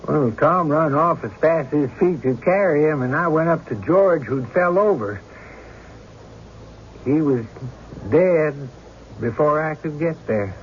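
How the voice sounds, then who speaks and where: A man's voice: a little high, measured pace, lightly acted. An actor playing an uneasy, plain-spoken country man in an old-time radio drama, with a hesitant rustic manner and a muffled recording. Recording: muffled, faintly noisy radio broadcast.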